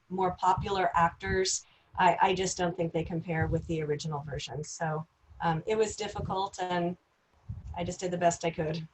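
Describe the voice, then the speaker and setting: A woman answering as an actress on a panel, unscripted, in a reflective and halting way. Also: on the low side, a little hiss or hum, rough recording.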